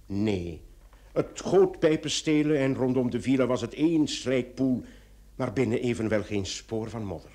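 An actor playing a formal, dryly probing police commissioner in a radio play, measured and sometimes hesitant. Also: male voice, medium pitch, lively intonation, warm tone, natural voice. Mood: businesslike. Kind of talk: old radio play acting.

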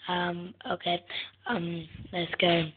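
A soft-spoken young woman hosting a casual gaming video, answering a guessing game in short words. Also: lowish voice, monotone, takes it slowly, noisy background. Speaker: gaming channel host. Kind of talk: casual gameplay commentary. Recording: tinny lo-fi phone-like recording.

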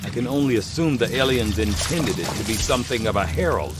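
An actor playing a learned scientist dictating in a video game, formal, precise and measured. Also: male voice, fairly low pitch, lively intonation, noisy background, natural voice. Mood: clinical curiosity. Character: learned male alien-autopsy scientist, measured, fascinated, formal. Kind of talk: scripted video game narration. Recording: music behind the voice.

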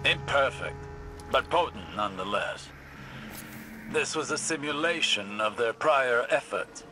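A man's voice: mid-range voice, expressive, measured pace, over music, close to an everyday voice. An actor playing a composed male ally in a video game who gives a precise, explanatory briefing.

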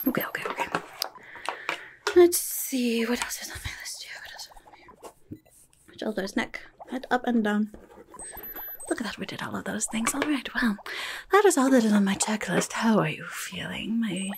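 A woman's voice: on the high side, animated, moderate pace, gravelly, long pauses, noisy background. A young woman voicing a rude, chaotic female goblin nurse in an ASMR roleplay, muttering fussily and repeating her words, close to the microphone. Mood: dryly sarcastic. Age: young adult. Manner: fussy, muttering, repeats words, blunt asides. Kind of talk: whispered and soft-spoken ASMR roleplay.

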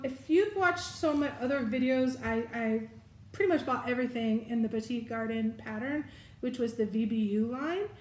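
A middle-aged woman talks casually through a haul, pointing out pockets and straps and stopping to correct herself. Her voice sits a little high. The room is echoey, with a faint hiss underneath. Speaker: vlogger showing a shopping haul. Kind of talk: casual product review. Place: living room at home, indoors. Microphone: fixed camera at table distance.

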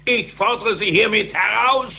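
A man's voice: high-pitched, moderate pace, gravelly. A man playing a boastful, taunting rich rival villain in a German cartoon audio play. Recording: muffled narrowband cassette.

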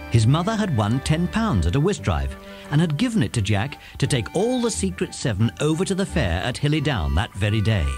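A man with an English accent reading scripted narration for a children's story as its narrator. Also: medium pitch.

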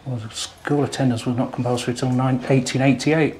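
A man's voice: mid-range voice, steady tone, fairly quick, a crowd in the background. An older man giving casual walking commentary and reading aloud on a handheld camera. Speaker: vlogger visiting a historic site. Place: outdoors in village ruins and inside an old schoolroom. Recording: handheld outdoor recording with clear background noise.

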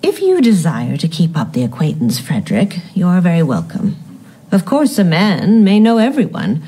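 An actress playing a haughty, disapproving upper-class aunt in a radio drama, with an imperious, precise manner. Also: female voice, lowish voice, wildly swooping pitch, moderate pace, dark tone, slightly rough, exaggerated voice, low-quality recording. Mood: disdainful.

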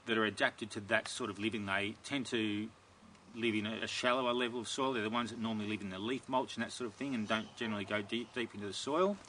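A middle-aged man answering viewer questions about his garden in a relaxed, rambling way, recorded outdoors in a backyard. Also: mid-range voice, a little hiss or hum. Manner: relaxed and rambling, many ums and restarts. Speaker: gardening video host. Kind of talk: casual Q&A, reading viewer questions.

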